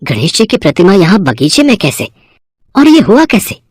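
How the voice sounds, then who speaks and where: An actor playing a kindly, concerned, devout father in a cartoon moral story, gentle and expressive. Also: high-pitched, normal speed, dry recording, clean studio sound, full character voice.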